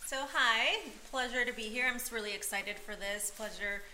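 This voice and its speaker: A woman's voice: fairly high pitch, normal speed, sharp tone, echoey room, a little hiss or hum. A young woman from Peru, a podcast guest, chatting casually with many fillers and frequent laughter.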